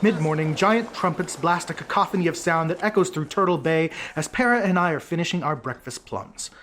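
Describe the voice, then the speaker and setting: A man's voice: on the high side, fairly quick, fairly bright tone. A man telling a first-person fantasy story in character as a tabletop game player. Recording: crowd ambience and faint noise.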